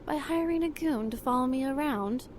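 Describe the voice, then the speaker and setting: High-voiced haughty young heiress. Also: female voice, unhurried, a little hiss or hum. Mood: cool, teasing. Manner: formal, dismissive, tart. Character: haughty, sharp-tongued young 1930s heiress, independent woman. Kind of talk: 1930s noir audio drama acting.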